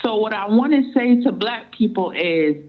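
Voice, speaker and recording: A woman's voice: mid-range voice, expressive. Woman over a tinny phone line. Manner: deliberate, measured points. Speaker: guest caller. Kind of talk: casual radio talk.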